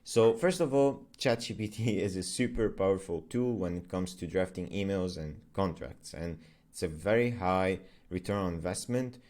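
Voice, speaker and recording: A man's voice: deep voice, normal speed, a little mellow. A young man whose first language is Arabic, talking casually through his business journey in a loose, filler-heavy way, often correcting himself. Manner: loose and conversational, many fillers and self-corrections. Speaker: agency founder vlogger. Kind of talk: casual explainer vlog.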